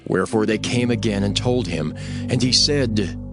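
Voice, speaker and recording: A man narrating a dramatized scripture reading in a solemn, measured storytelling manner. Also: lowish voice, music in the background.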